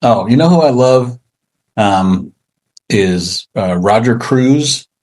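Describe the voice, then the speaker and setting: A man's voice: mid-range voice, animated, slow pace, darkish tone, no echo, rough recording. A man speaking casually as an interview guest, rambling and self-deprecating. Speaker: interview guest, comic book writer.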